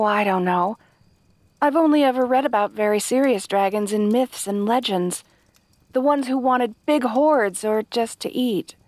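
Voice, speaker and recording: High-voiced, earnest young princess played by a woman. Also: dry recording. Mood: gentle, admiring. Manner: hesitant, polite, rambling earnest explanations. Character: kind, naive young princess, polite and earnest. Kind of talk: fantasy audio roleplay addressed to the listener.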